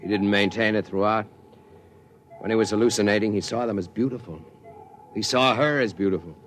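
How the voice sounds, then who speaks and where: An actor playing a sober, guarded spaceship officer in a 1950s radio drama, humane and reflective. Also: male voice, on the low side, a little fast, natural voice. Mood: reflective, subdued. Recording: noisy vintage radio recording.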